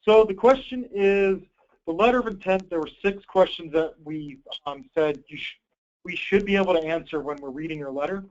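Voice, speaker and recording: A man with a fairly high voice leads a conference call, presenting and fielding questions. He is informal and obliging. The audio is dull and low-fi, as on a group call line. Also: moderate pace, darkish tone. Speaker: host, grant program coordinator.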